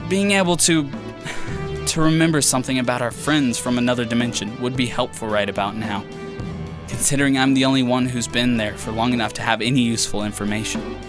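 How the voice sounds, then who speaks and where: A man's voice: medium pitch, lightly acted. Guy voicing a haunted young guy in an audio drama, brooding, keeps restarting, mid-range voice, music underneath. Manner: brooding, self-questioning, halting restarts. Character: young man haunted by memory gaps, obsessive. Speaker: lead character's monologue. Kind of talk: audio drama inner monologue.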